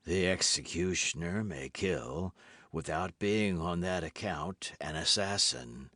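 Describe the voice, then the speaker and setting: Grim slow-spoken executioner voiced by a man. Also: sits low, sharp tone, dry recording, studio quality, pitched below the performer's own voice, full character voice. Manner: slow, grave, deliberate. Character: grim executioner in red cloak, calm and pious.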